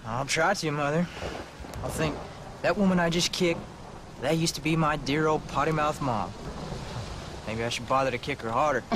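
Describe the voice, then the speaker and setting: A man's voice: on the high side, moderate pace, long pauses, noisy background, natural voice. A man playing a cheeky, bookish American teenage boy. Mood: sarcastic. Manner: sarcastic, rambling, youthful. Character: cheeky, bookish teenage son of frontier family. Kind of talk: video game cutscene acting.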